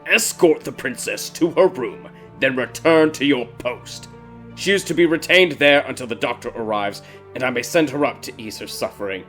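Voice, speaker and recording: A man voicing a stern, irritable king and grieving father with a rigid, domineering manner, in a dark fairy tale. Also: medium pitch, lively intonation, normal speed, voice pushed up, lightly acted. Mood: shouting angry. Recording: background music behind the voice.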